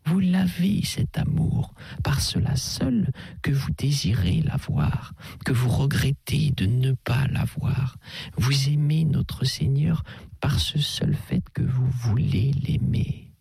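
A kindly older priest, put on by a male reader, who reassures in a breathy near-whisper, his voice lifted a little above the reader's own, with a gravelly edge. He speaks slowly and patiently. The recording is close and dry. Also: mid-range voice, animated, no room sound, voice pushed up, exaggerated voice. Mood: gently persuasive. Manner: soft, half-whispered reassurance. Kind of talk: audiobook character voice.

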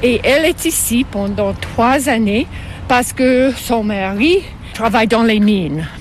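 Older woman, native English speaker, English Canadian accent, halting delivery, casual interviewee, light vehicle noise behind. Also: fairly high pitch, expressive. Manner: halting second-language French.

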